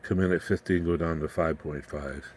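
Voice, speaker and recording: A man with a deep, low voice walks through electronics as a hobbyist presenter. His delivery is informal, folksy and plain-spoken, wandering a little as he shows each piece. Some background noise and room ambience sit under the voice. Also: fairly even intonation, a little fast. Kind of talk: informal hands-on technical demonstration.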